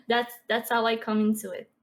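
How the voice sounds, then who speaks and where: A woman in her twenties with a Québécois French accent, a guest on a podcast, chatting casually with many fillers and soft laughs. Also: a little high. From Quebec. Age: 20s. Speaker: podcast guest, streamer and artist. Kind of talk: casual interview chat.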